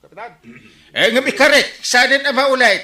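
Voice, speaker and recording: A man with an Ilocano accent playing an excitable, blustering village captain in a comedy radio drama, stammering and exclaiming as he makes excuses. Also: extremely high pitch, moderate pace, roomy sound, full character voice. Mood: defensive, loud.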